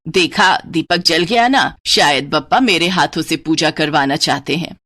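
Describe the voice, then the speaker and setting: A woman's voice: deep voice, unhurried, bright, forceful tone, pitched below the performer's own voice, exaggerated voice. A woman voicing a harsh, domineering older mother-in-law in a moral cartoon story, scolding and proud. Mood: scornful. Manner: scolding, commanding, taunting.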